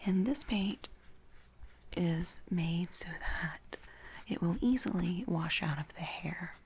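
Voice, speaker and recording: A woman doing a soft-spoken ASMR roleplay as a makeup artist, breathy and whispered, giving gentle, reassuring instructions. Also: lowish voice, a little hiss or hum.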